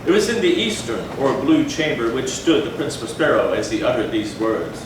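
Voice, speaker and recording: A man's voice: mid-range voice, lively intonation, moderate pace. Solemn male narrator in a very echoey hall. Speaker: narrator in readers theatre. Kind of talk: dramatic stage reading of gothic horror. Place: indoor theatre, recorded from a distance. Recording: very echoey hall, far mic, noisy.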